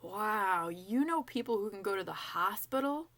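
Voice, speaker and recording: An earnest young zombie girl from a storybook, played by a woman reading aloud. She is naive and full of questions. Her voice is bright and clear-cut. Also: mid-range voice, animated, normal speed. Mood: amazed.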